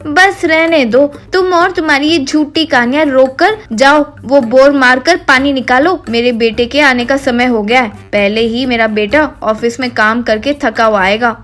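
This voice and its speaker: A woman's voice: high-pitched, normal speed, few pauses, a bit bright. A woman voicing a sarcastic, complaining old mother-in-law in a dramatic story. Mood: scolding.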